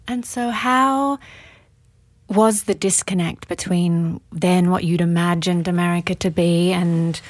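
Lowish-voiced woman interviewer, close dry sound. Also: steady tone, unhurried, no echo. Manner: informal, personal, loosely phrased. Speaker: podcast interview host. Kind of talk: casual conversational interviewing.

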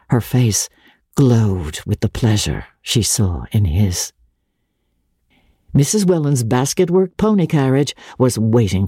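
Husky, low-toned woman reading dramatically. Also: dry recording, clean studio sound. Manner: measured, expressive, theatrical. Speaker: audiobook narrator and radio presenter. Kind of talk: dramatic literary audiobook reading.

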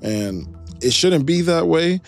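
A man's voice: a little high, animated, takes it slowly, creaky, faint music behind. A man from Chicago who spent part of his upbringing in Nigeria, speaking conversationally and emphatically as an interview guest, explaining as he goes. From Chicago, United States, partly raised in Nigeria.

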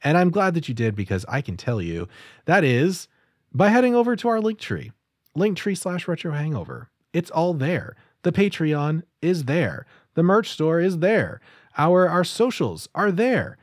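A man's voice: a little high, very animated, measured pace, dry recording. An easygoing man wrapping up a gaming podcast, his mid-range voice rising and falling freely. The recording is dry and close to the mic. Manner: easygoing, chatty, many fillers. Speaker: podcast host. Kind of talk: casual podcast chat and outro.

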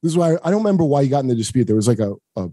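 A man's voice: mid-range voice, big pitch swings, rapid-fire, mellow, dry recording, studio quality. A man hosting a comedy podcast, chatting and joking casually with his guest.

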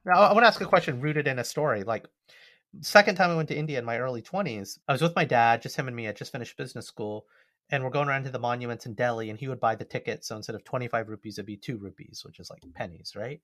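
A man's voice: mid-range voice, fast, fairly bright tone, dry recording, studio quality. A man with an American accent talking casually as a podcast co-host, telling stories in a joking, conversational way. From United States.

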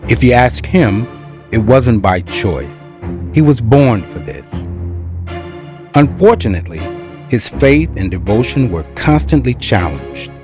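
A man giving measured, rhetorical narration for a scripted audio drama, as a reflective storyteller. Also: mid-range voice, sing-song, music in the background.

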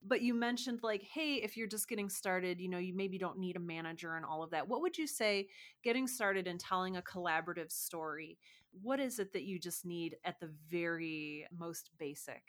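A woman's voice: on the low side, normal speed. A woman hosting a casual conversational interview, asking questions in a tactful, encouraging way. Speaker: podcast host, interviewer.

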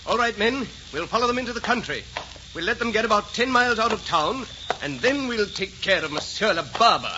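A muffled, faintly hissy old radio recording. a haughty male villain, high and bright in voice, speaking with cold, threatening melodrama as a jealous aristocratic rival. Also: expressive, fairly quick. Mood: scheming, commanding. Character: menacing, haughty English gentleman villain, jealous rival suitor. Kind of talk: 1940s radio drama acting.

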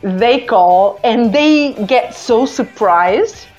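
A woman from Portugal with a Portuguese accent, a native Portuguese speaker, hosting a casual live call-in show in a halting way with repeated words. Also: fairly high pitch, animated, darkish tone. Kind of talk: casual live chat with listeners. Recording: dull lo-fi app audio with music. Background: music.

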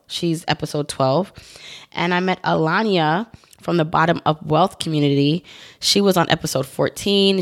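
Chatty woman podcast host in her thirties. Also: sits low. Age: 30s. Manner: chatty, upbeat, rambling asides. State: recovering from voice loss. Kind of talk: solo podcast monologue.

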